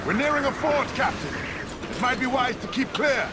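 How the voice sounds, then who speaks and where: A man's voice: very high-pitched, expressive, moderate pace, very noisy. An actor playing an alert, dutiful pirate ship's quartermaster in a video game, calling out reports to his captain. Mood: cautious advice. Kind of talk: dramatic video game acting.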